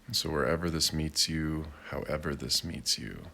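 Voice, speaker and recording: A man leading a guided meditation in a gentle, deliberate way, with long pauses between short phrases. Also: extremely low pitch. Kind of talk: guided meditation, slow and unhurried.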